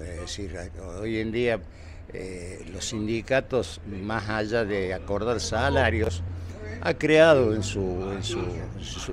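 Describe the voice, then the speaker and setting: A retired man in his sixties with an Argentine accent, answering a street interview with halting restarts and fillers. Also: medium pitch. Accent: Córdoba Argentine Spanish. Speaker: retired provincial employee. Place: city street outdoors.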